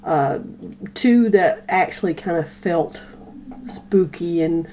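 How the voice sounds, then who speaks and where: A woman with a soft-edged, mellow voice on the lower side talks casually as a podcast guest. She is informal and hesitant, with faint noise behind her. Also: takes it slowly. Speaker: guest co-host. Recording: noticeable background noise.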